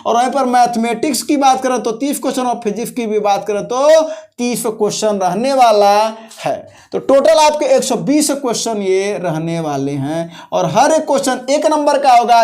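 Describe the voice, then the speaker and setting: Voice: mid-range voice, darkish tone. A presenter hosting an informal explainer on exam and job details, speaking in an instructional way, repeating key points and often checking in with listeners. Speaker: host of a job-notification video channel.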